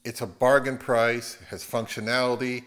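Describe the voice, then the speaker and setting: A man's voice: mid-range voice, level tone, moderate pace, a bit bright. A man, giving a conversational product review as an audio gear reviewer.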